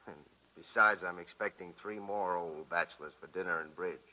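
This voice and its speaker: An actor playing an aging, good-humored bachelor friend, easygoing and gently teasing, in 1940s radio drama style. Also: male voice, low-pitched, moderate pace, heavily acted, poor audio. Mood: teasing, fond. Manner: easygoing, chuckling, rueful.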